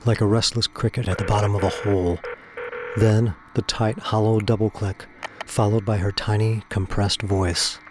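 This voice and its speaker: A man's voice: fairly low pitch, level tone, moderate pace, background noise. A man delivering scripted, dramatic story narration for a science fiction audio drama.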